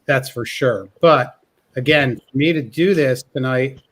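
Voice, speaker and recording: A man hosting a live call-in panel stream, casual and teasing, joking about selling courses. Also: unhurried. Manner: joking, teasing sales pitch.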